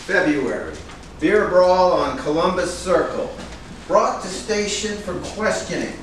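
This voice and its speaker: In a noisy, echoing room, far from the mic, a pushy and fretting talent agent holds forth , acted by a man at a staged reading. He speaks slowly and haltingly in a high voice, with a dramatic touch. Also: expressive. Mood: accusing, worried. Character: pushy, fretting male talent agent, accusing then flattering.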